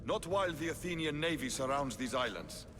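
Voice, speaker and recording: A man's voice: on the high side, expressive, brisk, in a large hall, music in the background, natural voice. Thaletas, a man voicing a hotheaded Spartan. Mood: proud. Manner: proud, blunt, forceful. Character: Thaletas, male Spartan commander, proud and hotheaded. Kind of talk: video game cutscene acting.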